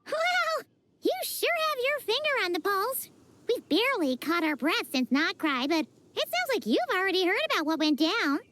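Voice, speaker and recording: A woman voicing a small, childlike, cheeky fairy companion in a video game, with a squeaky voice and a teasing, talkative manner. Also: very animated, moderate pace, sharp tone, dry recording, exaggerated voice. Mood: chatty. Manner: teasing, refers to self in third person. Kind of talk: animated video game voice acting.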